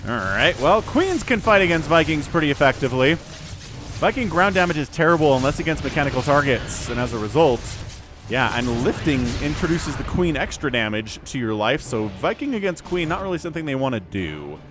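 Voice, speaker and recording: A man in his forties giving lively, excitable play-by-play commentary on a strategy game match. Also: a little high, fairly quick, music in the background. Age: 40s. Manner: animated, excitable, self-deprecating asides. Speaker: game caster. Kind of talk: esports commentary.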